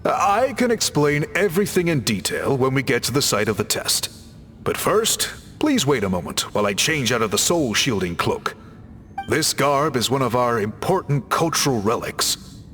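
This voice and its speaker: A man's voice: medium pitch, fairly bright tone. A man voicing a polite, composed scholar in a video game, with courteous, precise and formal phrasing. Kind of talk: video game character acting.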